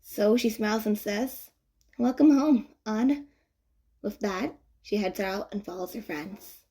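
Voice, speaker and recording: A woman reading fan fiction as an audiobook narrator, expressive and conversational with playful emphasis. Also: medium pitch, a little slow, slightly rough. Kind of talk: expressive scripted story narration.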